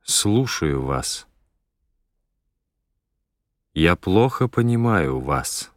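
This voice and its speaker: A man reading Russian phonetic drill words for learners, one at a time with deliberate, measured pronunciation. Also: low voice, sing-song, no room sound, studio quality. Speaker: language course announcer.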